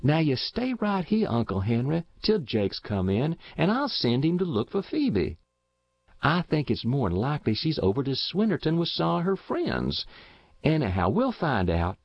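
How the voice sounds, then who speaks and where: A man's voice: a little high, wildly swooping pitch, normal speed, vocal fry, no echo, muffled recording, pitched above the performer's own voice, heavily acted. A man voicing a stout, kindly old farm woman who fusses and exclaims in rural American speech for an audiobook. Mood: coaxing, motherly. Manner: exclaiming, folksy. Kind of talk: audiobook character voice.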